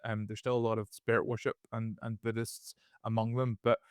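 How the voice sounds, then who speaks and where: A man's voice: fairly low pitch, level tone, fairly quick, clean tone, no echo, clean studio sound. Northern Irish man co-hosting a podcast. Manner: explanatory, repeats words when restarting. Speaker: podcast co-host. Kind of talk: casual conversational podcast.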